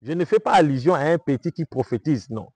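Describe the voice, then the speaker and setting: A man's voice: on the high side, animated, fairly quick, a little mellow, dry recording. A man with a Congolese French accent from Kinshasa, a self-styled prophet answering interview questions in a preaching manner, emphatic and repetitive, often asking "vous comprenez?".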